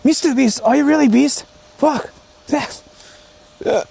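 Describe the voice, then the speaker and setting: A man's voice: extremely high pitch, unhurried, vocal fry, dark tone. A man livestreaming a casual vlog outdoors, chatting to viewers and thinking aloud with plenty of swearing. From Japan. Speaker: livestreamer exploring haunted spots. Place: outdoors on the move in rural area. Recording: noisy outdoor livestream recording.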